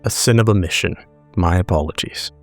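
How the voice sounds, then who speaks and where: A man's voice: low-pitched, fairly quick, gravelly, faint music behind. A man playing a wry, smitten protective agent with dry, understated banter in a tabletop roleplaying game. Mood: playful, wry.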